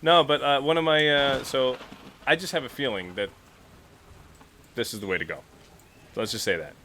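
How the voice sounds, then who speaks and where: A man's voice: mid-range voice, normal speed, sharp tone, a little hiss or hum. A man playing a tabletop game, chatting in an informal, playful way. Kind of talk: casual chat.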